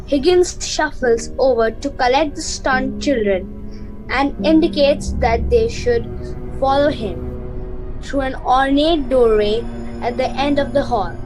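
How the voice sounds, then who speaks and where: High-voiced, slow woman narrator. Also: poor audio. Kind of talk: scripted storytelling narration.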